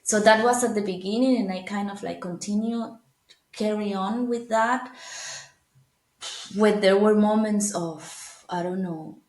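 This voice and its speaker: Woman, Venezuelan Spanish accent, second-language English, medium pitch, thoughtful, fillers and restarts, roomy echo. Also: unhurried, a little mellow. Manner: thoughtful, frequent fillers and restarts, laughs easily. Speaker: guest. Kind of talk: casual interview talk.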